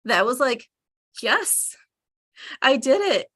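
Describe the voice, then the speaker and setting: A woman panelist telling personal stories in a casual, animated, informal way. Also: high-pitched, slow.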